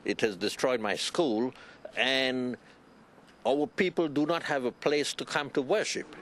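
A man's voice: mid-range voice, unhurried, fairly bright tone, a little hiss or hum. A man speaking emphatically in a news interview outdoors. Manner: emphatic, insistent. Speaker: community member.

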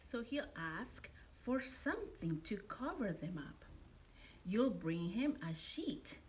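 A middle-aged woman reading a picture book aloud to young children in an expressive, deliberate storytelling manner, like a preschool teacher. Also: medium pitch. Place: indoor classroom. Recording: noticeable steady room background noise.

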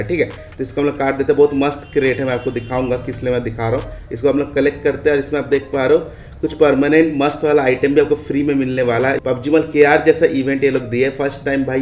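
A man's voice: mid-range voice, darkish tone. An Indian man hosting a gaming video, giving a casual walkthrough commentary with repeated stock phrases. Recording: echoey room with faint background noise.

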